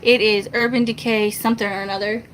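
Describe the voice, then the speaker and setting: A soft-spoken woman, chatting casually and laughing with her viewers in a rambling, self-mocking way. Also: little pitch movement, fast, slight background noise, low-quality recording. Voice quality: soft-spoken, high-pitched. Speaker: family vlogger.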